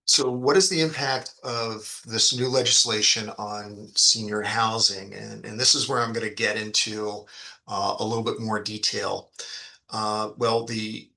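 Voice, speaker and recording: Man, fund executive, very sharp forceful tone, gravelly low-end creak, halting explanatory delivery, business presentation. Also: fairly even intonation. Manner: halting, frequent ums and repeated words. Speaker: fund executive presenting on an investor earnings call.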